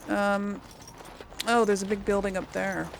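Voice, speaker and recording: A woman's voice: medium pitch, fairly even intonation, a little slow, creaky, a little hiss or hum. A woman doing casual let's play commentary, chatting and musing aloud as she plays. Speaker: game streamer, role-player. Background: game ambience.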